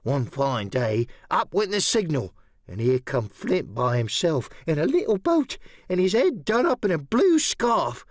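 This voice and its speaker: A man voicing an excitable, half-crazed old male sailor marooned on an island, rambling in old sailor's slang. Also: fairly high pitch, a little slow, dry recording, clean studio sound, pitched above the performer's own voice. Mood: confiding. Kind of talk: audiobook character voice.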